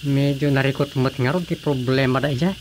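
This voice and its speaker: A man's voice: medium pitch, fairly even intonation, fairly quick, a little mellow, natural voice. An actor playing an everyday family man, a husband, in a radio drama, with an Ilocano accent. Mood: conversational. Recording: noisy track with chirping night crickets.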